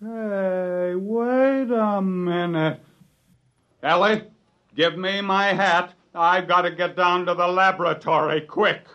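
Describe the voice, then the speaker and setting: A man acting an excitable scientist husband who tells his own story in a 1950s radio drama, with dramatic, wry delivery. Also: high-pitched, slow. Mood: agitated. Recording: dull 1950s radio recording, music behind.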